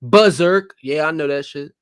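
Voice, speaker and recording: Young man, tipsy panel guest, high-pitched voice, rambling jokes. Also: normal speed, a crowd in the background. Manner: joking, rambling, self-described trash talker. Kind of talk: casual livestream panel chat.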